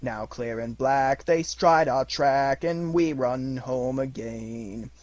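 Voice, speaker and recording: Man as wolves declaiming a slow, solemn chant. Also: mid-range voice, darkish tone, no echo, highs cut off, close to an everyday voice. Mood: solemn, rousing. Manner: declamatory, drawn-out. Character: wild wolf pack chanting a solemn jungle hunting song. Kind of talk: loud, measured verse recitation.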